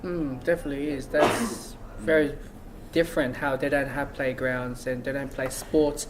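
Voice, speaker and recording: A man's voice: medium pitch, fairly even intonation, normal speed, background noise. A young man hosting a casual talk show on a couch, asking questions with lots of "like" and "you know", recorded indoors on a camera mic a few feet away. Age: young adult. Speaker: host. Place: small room indoors, seated on a couch.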